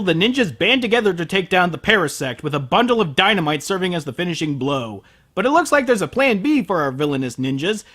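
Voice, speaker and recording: A man's voice: high voice, pushed and bright. A man delivering a scripted comedic review as host, sarcastic, exasperated and prone to swearing. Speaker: host of an anime review show.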